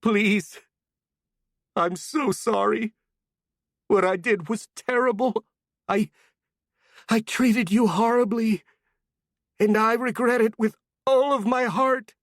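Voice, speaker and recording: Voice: medium pitch, big pitch swings, takes it slowly, dry recording, studio quality, voice pushed up. A storyteller voicing a remorseful old woman pleading in a trembling, broken voice.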